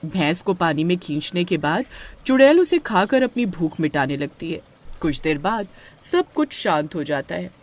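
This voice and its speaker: A woman's voice: on the low side, animated, moderate pace. A woman reading scripted horror story narration as the storyteller.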